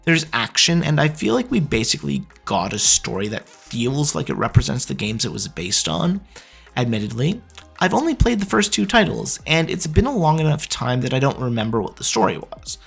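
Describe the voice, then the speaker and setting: Animated man reviewing with opinions. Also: medium pitch, gravelly, breathy edge. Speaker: video host and movie reviewer.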